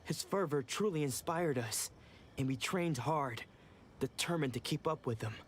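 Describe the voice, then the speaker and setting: A man's voice: fairly high pitch, normal speed, background noise, close to an everyday voice. A man voicing a young, protective dojo disciple in a video game, speaking in an earnest, formal way. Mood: explaining. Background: game music.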